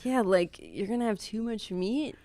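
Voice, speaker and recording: A woman's voice: medium pitch, normal speed, dark tone, no echo. A woman guest on a comedy podcast, reading scripture aloud with playful drama and chatting in between. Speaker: guest reader.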